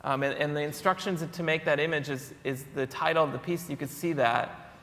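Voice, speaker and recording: Gravelly male artist, halting onstage answers, hall echo. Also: a little high, fairly even intonation, fairly bright tone. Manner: halting, trailing, many fillers. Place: conference hall stage. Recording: long hall reverb.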